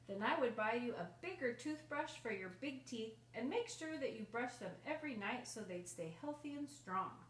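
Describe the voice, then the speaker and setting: A woman's voice: on the high side, expressive, moderate pace, hardly any pauses, lightly acted. A woman voicing a loving, patient storybook mother with a tender, singsong, reassuring manner. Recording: roomy, distant mic, faint noise.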